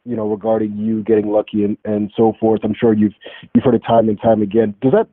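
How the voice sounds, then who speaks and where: A man's voice: fairly low pitch, level tone, brisk, no echo. A man asking polite, conversational questions as a reporter on a press call. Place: phone line on a teleconference. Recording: narrow muffled telephone conference line.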